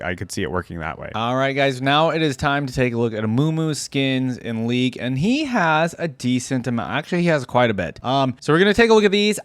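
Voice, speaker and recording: A man's voice: medium pitch, dry recording. A man doing casual reaction commentary as a video host, musing and thinking aloud in an informal way.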